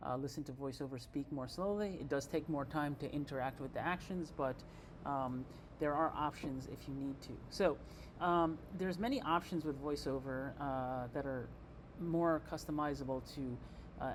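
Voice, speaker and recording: Man, mid-pitched voice, informal tutorial presenter, halting explanations, thinking aloud, slight background noise. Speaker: presenter giving a software demo. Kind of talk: informal screen-reader tutorial narration.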